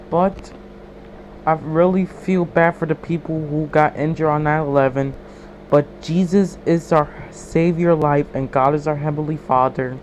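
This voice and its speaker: Higher-pitched male video creator. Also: darkish tone, a little hiss or hum, rough recording. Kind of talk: casual talk to viewers.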